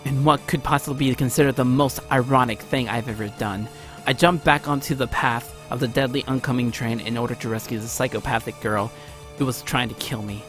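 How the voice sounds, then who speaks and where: A man's voice: fairly even intonation, fairly quick. A man hosting a let's play, narrating a visual novel aloud theatrically and often stumbling over the text. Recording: music behind, low-quality home recording.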